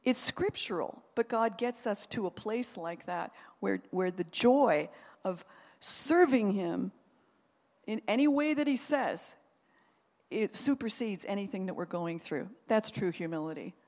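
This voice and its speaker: A woman pastor giving a sermon, talking in an animated, conversational way and acting out quotes as she goes. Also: mid-range voice, measured pace, big-room sound.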